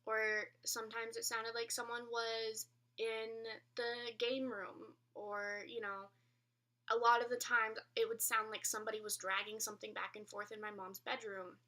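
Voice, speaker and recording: A woman's voice: on the high side, flat delivery, moderate pace, pushed and bright, far from the mic. A young adult woman telling a personal story in a casual vlog style, rambling and correcting herself, with emphatic repetition. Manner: rambling, colloquial, self-correcting; emphatic, repeats phrases for effect. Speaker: vlogger.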